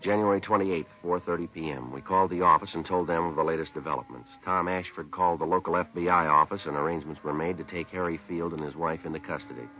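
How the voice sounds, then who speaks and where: Deadpan male police detective, acted, old radio drama. Very low voice, fast clipped delivery. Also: natural voice. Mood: deadpan narration. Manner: clipped, matter-of-fact, deadpan. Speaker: lead detective and narrator. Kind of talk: 1950s radio police drama acting and narration. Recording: muffled 1950s radio recording.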